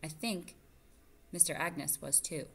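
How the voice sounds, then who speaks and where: Woman as a quiet, thoughtful boy mouse. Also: low voice, slow pace, gravelly, a little hiss or hum, heavily acted.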